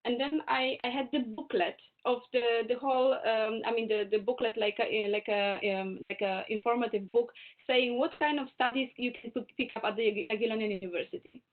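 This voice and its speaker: A woman's voice: fairly high pitch, fairly even intonation, normal speed. Woman on a tinny video call. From Poland. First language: German. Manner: chatty, self-correcting, laughs easily. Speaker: guest speaker. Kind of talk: webinar talk.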